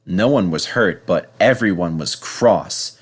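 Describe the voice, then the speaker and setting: A man reading a children's story aloud in an animated, scripted narration style. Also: low-pitched, unhurried, slightly rough. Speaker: storybook reader.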